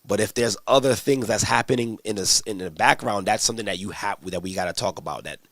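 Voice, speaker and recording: A man's voice: lowish voice, quick pace, a bit bright, dry recording. A man teaching scripture in a casual livestream, answering viewers' questions in a conversational, slangy way with many restarts.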